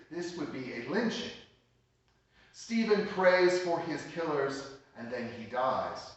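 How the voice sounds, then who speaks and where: A man pastor preaching a sermon, explaining scripture in a measured, plain teaching tone. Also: fairly high pitch, fairly bright tone, muffled recording. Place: church sanctuary. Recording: echoey church hall, mic at a distance.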